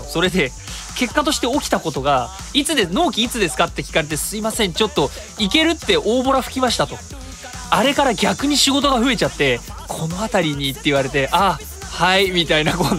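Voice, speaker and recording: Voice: mid-range voice, sharp tone. A radio host chatting casually alone, mixing polite speech with colloquial asides. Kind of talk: casual solo radio talk.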